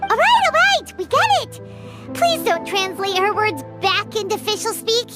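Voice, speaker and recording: A woman voicing a small, childlike, loyal fairy companion in a video game, with a squeaky, exclamatory delivery. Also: lively intonation, moderate pace. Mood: chirpy. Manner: exclamatory, refers to self in third person. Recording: loud music behind the voice.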